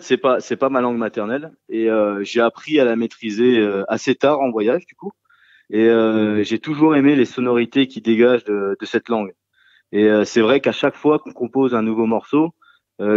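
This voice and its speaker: A man from a rock trio talks through a radio interview, stopping often for fillers and starting his sentences over. The sound is dry, with the highs a little muffled. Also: moderate pace, a little mellow, no room sound. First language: French. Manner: hesitant, many fillers and restarts. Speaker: singer-guitarist of a rock trio. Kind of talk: casual radio interview answers.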